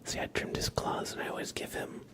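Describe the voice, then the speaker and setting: A man hosting an ASMR livestream, chatting casually in a soft, hushed, gentle manner. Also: fairly quick. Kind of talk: whispered ASMR, casual rambling chat.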